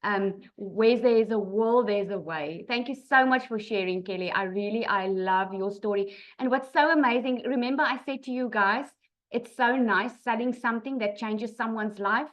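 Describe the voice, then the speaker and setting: A woman with a South African accent hosting a group call, talking slowly and with feeling. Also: on the high side. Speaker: host of an online business group.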